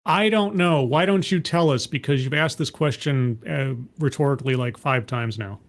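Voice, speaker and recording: A man's voice: mid-range voice, normal speed. A man hosting a reaction commentary, talking in a sarcastic, interrupting, exasperated way. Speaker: commentary host reacting to a talk.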